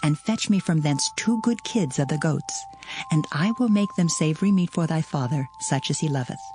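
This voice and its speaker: A woman's voice: low-pitched, animated, moderate pace, warm tone, soft background music. A woman playing a resolute, scheming mother in a dramatized Bible drama, giving urgent instructions in archaic scripture language. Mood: urgent, commanding.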